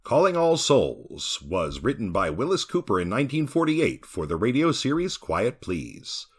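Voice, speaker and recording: A man's voice: mid-range voice, wildly swooping pitch, normal speed, slightly rough. A man reading scripted podcast credits as a theatrical horror-show announcer. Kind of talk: scripted closing credits read.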